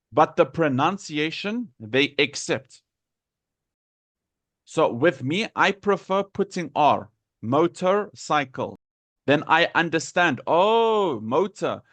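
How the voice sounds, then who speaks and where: A South African man teaching English in a live lesson, deliberately repeating and enunciating words for learners. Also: on the high side, fairly bright tone, no room sound. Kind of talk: live lesson explaining pronunciation to students.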